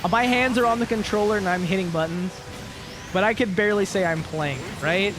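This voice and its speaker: A man's voice: high-pitched, brisk, rough recording. A man in his twenties rambling in casual gaming chat, swearing and laughing often, with frequent restarts. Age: 20s. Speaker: co-host playing a video game. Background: game music and sound effects.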